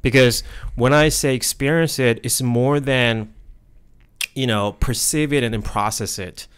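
A man's voice: medium pitch, measured pace, clear, resonant tone. A man in his thirties from Korea, a podcast co-host talking thoughtfully with many fillers into a desk microphone in a studio. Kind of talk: casual podcast conversation. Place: studio room. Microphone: studio mic on desk stand.

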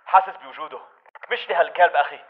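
A man's voice: a little high, rapid-fire, narrow, tinny audio, lightly acted. An actor playing a wary male militant on patrol in a video game, giving terse lines to comrades in Levantine Arabic. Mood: wary, tense. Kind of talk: video game character dialogue.

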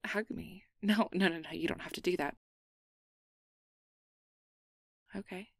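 A woman's voice: fairly low pitch, fairly even intonation, fairly quick, creaky, no room sound, studio quality. A woman playing an awkward, guarded but secretly tender young changeling in an ASMR roleplay, hesitant and stammering as she downplays things. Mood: bashful.

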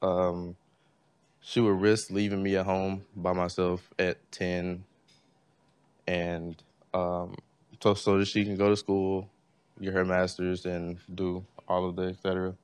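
A young man giving a class tribute speech, halting and full of fillers. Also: low-pitched, completely flat delivery, a little mellow, clean tone, dry recording. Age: young adult. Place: library classroom.

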